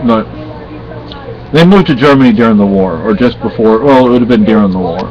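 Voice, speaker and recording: A man's voice: fairly low pitch, brisk, lo-fi sound. A middle-aged man from Spain chatting casually and rambling on a home vlog, often correcting himself. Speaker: vlogger. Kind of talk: casual chat.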